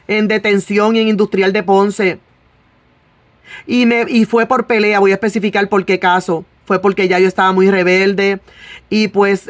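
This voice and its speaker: A woman from Rico, preaching earnestly and sharing her own testimony, drawing out key words and pausing on fillers. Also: mid-range voice, dry recording. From Puerto Rico. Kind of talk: sermon with personal testimony.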